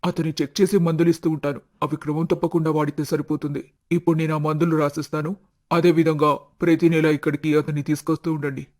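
A man voicing a composed, reassuring doctor in an animated family story, matter-of-fact in manner. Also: fairly high pitch, fast, close to an everyday voice.

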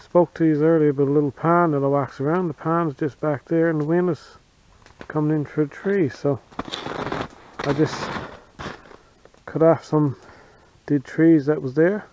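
A man talking in a plain, unhurried, folksy way while showing his camp in the snowy woods, recorded on a wearable camera. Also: fairly high pitch, fairly even intonation, darkish tone, poor audio. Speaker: bushcraft vlogger. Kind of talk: casual outdoor narration. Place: snowy forest outdoors.

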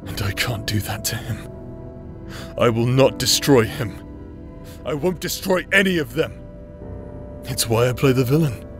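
A man's voice: medium pitch, unhurried, pauses often, natural voice. A man acting in an intimate audio roleplay as a teasing, roguish outcast brother who plays the villain but is secretly tender, with a hesitant, chuckling drawl. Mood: confiding, earnest. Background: music.

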